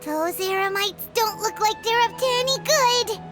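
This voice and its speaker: A woman voicing a small, childlike, excitable fairy companion in a video game, with a squeaky, chirpy, blunt delivery. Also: normal speed, loud music behind the voice. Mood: alarmed, determined. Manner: blunt, chirpy, refers to herself by name. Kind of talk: English video game voice acting.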